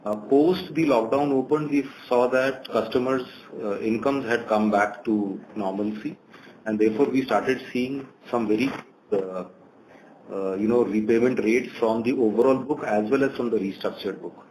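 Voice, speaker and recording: A man giving measured answers as a bank executive on an earnings call. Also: mid-range voice, a little mellow. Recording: faintly noisy conference call.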